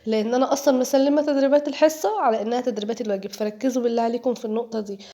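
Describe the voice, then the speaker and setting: A woman's voice: fairly high pitch, steady tone, very fast, mellow. A teenage girl with an Egyptian Arabic accent, narrating her day in a chatty vlog voice-over while getting over a cold, talking straight to her viewers. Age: teens. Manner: chatty, jokey, addresses viewers directly. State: has a cold, voice sounds off. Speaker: vlogger, high school student.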